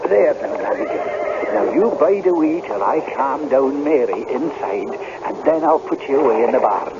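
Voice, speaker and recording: A man's voice: medium pitch, lively intonation, normal speed, lightly acted. An actor in a radio drama playing a hard-drinking, nervous Scottish tenant farmer who wheedles and stammers as he tells his tale. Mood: coaxing. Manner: stammering and blustering, folksy. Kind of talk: radio drama acting and narration. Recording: muffled, noisy old radio broadcast.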